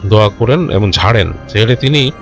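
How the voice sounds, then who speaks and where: A man telling a horror story informally and unscripted, in a halting, conversational way with repeated phrases. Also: on the low side, animated. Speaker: storyteller of a ghost story show. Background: background music.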